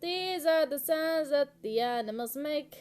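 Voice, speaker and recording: Woman, high pitch, very unhurried, sing-song children's rhyme, simple repetitive delivery. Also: expressive, a little mellow.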